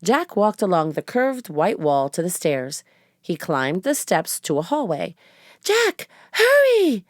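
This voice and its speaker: Slow, expressive woman reading a children's book. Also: lowish voice, dry recording, studio quality. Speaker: storybook reader. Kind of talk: children's book read-aloud, scripted narration.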